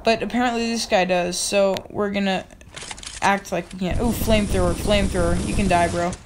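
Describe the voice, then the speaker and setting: A young woman with a slightly raspy voice, giving casual commentary over her own gameplay and yelling at other players. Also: mid-range voice, slight background noise. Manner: excitable, yells at other players, quick laughs. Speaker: gaming channel host.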